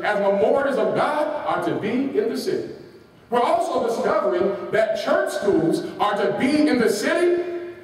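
A man preaching a sermon, reading quotations with their page numbers and asking his congregation rhetorical questions that they answer. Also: extremely high pitch. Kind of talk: sermon with call and response. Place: large church hall. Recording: echoey hall from far off.

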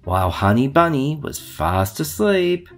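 A man reading a children's storybook aloud in a theatrical way, with drawn-out emphasis. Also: mid-range voice, very animated, soft background music. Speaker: storybook reader.

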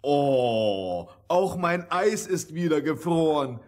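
An easygoing, slow glutton. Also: male voice, a little high, big pitch swings, darkish tone. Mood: contented. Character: easygoing glutton, fairy-tale companion. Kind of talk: theatrical radio play acting.

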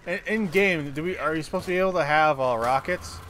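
A man's voice: fairly high pitch, quick pace, a bit bright. A man chatting casually and sarcastically, with some swearing, while playing a co-op video game. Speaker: gamer playing co-op. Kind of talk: casual gaming chat. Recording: background game noise, ambience.

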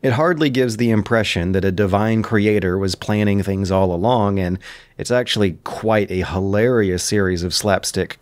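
A man's voice: fairly low pitch, dry recording. A man narrating scripted explanatory commentary in the manner of a history lecture.

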